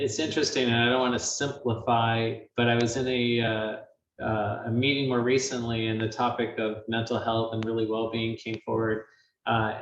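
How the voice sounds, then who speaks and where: A man hosting a webinar conversation, asking questions in a reflective, halting way with many pauses. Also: medium pitch, recorded from a distance. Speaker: webinar host. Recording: dull, roomy sound from off-mic, faint hiss.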